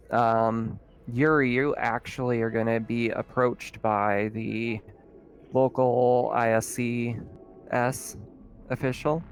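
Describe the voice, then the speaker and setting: A man running a tabletop game, narrating in an informal, conversational way as game master. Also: medium pitch.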